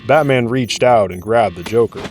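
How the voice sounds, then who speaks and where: A man's voice: medium pitch, moderate pace, a little mellow, slight background noise. A man narrating an audio drama in a scripted storytelling style.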